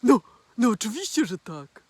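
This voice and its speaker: A woman's voice: on the high side, sing-song, normal speed, darkish tone, husky, no room sound, pitched above the performer's own voice. A woman voicing Fućek, a curious little male companion who eagerly asks questions.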